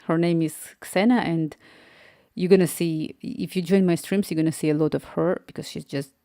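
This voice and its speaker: A woman's voice: lowish voice, steady tone, normal speed, warm tone, no room sound, studio quality. A young woman from Ukraine with a Slavic accent, chatting casually on a live stream, laughing often and restarting words as she answers viewers.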